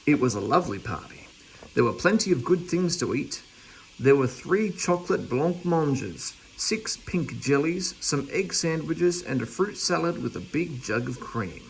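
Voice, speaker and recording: A man's voice: a little high, big pitch swings, unhurried, vocal fry, a little hiss or hum, low-quality recording. A man reading a children's storybook aloud as a narrator. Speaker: storybook reader.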